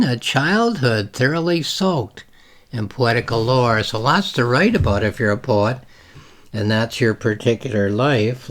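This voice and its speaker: A man reading literary passages and explaining them informally as the author, with deliberate, measured phrasing and dramatic emphasis. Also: mid-range voice, fairly bright tone. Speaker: novelist reading from and explaining own novel.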